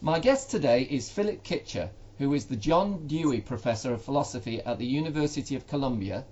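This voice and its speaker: A man's voice: mid-range voice, lively intonation, fairly quick, a little mellow, lo-fi sound, faint music behind. A man hosting a podcast, giving a formal scripted introduction and asking polite interview questions. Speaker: podcast host.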